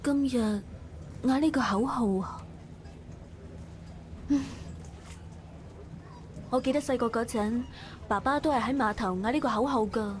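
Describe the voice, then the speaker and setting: A woman's voice: a little high, measured pace, long pauses, slight background noise, natural voice. A woman playing a wistful young female dock worker thinking aloud in a visual novel, with a Hong Kong Cantonese accent. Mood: wistful, pensive. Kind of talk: visual novel inner monologue.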